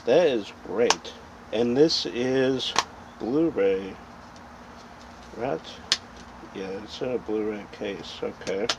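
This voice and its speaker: A man's voice: fairly low pitch, takes it slowly, long pauses. A man hosting a casual, unscripted collecting vlog, rambling and thinking aloud as he goes. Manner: rambling, thinking aloud as he looks over items. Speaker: host of a video channel about film collecting. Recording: dull lo-fi sound with background noise.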